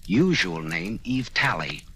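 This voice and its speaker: An actor playing a sardonic, slangy, tough private detective in an old-time radio drama. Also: male voice, deep voice, sing-song, a little slow, pushed and bright, slightly rough, full character voice. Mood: accusing, sardonic. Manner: sardonic, slangy, hard-boiled delivery. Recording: noisy vintage radio recording.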